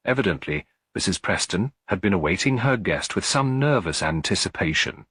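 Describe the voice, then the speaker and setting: A man's voice: low-pitched, expressive, moderate pace, fairly bright tone, husky, dry recording. A man narrating a scripted cozy mystery story as an audiobook reader.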